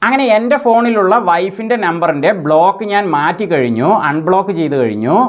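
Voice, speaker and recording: A man's voice: high-pitched, expressive, fairly quick. A man presenting a tech tutorial, explaining each step in a methodical, instructional way.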